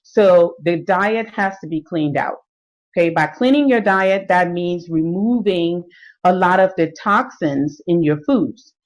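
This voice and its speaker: A woman's voice: on the low side, a little slow. A middle-aged woman giving a live stream talk on fitness and nutrition, explaining at an easy pace with many fillers. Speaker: health and fitness coach.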